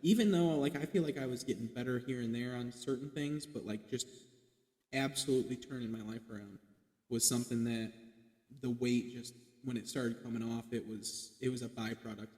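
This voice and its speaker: A man's voice: mid-range voice, fairly quick, darkish tone. Twenty-something guy rambling on a podcast, says like a lot, swears, echoey room. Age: 20s. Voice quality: self-described light boyish voice. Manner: rambling, heavy "like" fillers, swears casually. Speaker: podcast guest. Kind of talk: casual podcast chat. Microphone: podcast mic. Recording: echoey room with long reverb.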